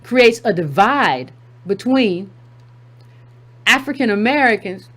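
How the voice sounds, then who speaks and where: A woman's voice: fairly high pitch, lively intonation, slow pace, fairly bright tone. A woman hosting a commentary channel, reading a text aloud and breaking in with emphatic asides, repeating key phrases for effect.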